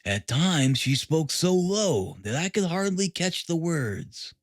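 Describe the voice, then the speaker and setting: A man reading scripted literary narration for an audiobook in a measured, formal manner. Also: on the high side, sing-song, no room sound, clean studio sound.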